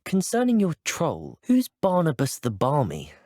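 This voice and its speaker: Lively, polite young British student wizard. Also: male voice, on the high side, unhurried, dry recording, studio quality, heavily acted. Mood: polite, curious. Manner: polite, well-spoken, earnest. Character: polite, plucky teenage male student wizard, eager adventurer. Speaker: video game player character voice. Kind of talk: scripted game voice lines.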